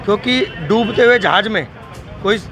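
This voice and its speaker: A man's voice: sits high, lively intonation, moderate pace, music in the background, a crowd in the background. A man, a party worker, speaking confidently and chanting slogans in a street interview.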